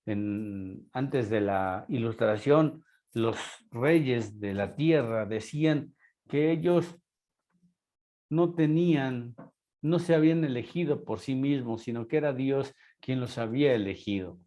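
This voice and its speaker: A man's voice: medium pitch, mellow. A man with a Mexican accent, lecturing a class and asking rhetorical questions. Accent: Mexican Spanish. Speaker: teacher.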